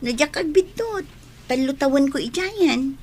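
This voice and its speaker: Actress as high, confident young Ilocano waitress. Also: female voice, expressive, a little slow, darkish tone, slight background noise. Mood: persuasive. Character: persuasive, confident young city bar waitress friend. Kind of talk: Ilocano radio drama acting.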